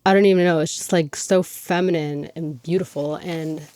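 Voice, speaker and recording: A woman's voice: low voice, fairly even intonation, normal speed, darkish tone, no echo, studio quality. A young woman hosting her own podcast, chatting casually and gushing, with lots of "like". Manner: chatty and gushing, heavy use of "like". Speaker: podcast host. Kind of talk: casual solo podcast chat.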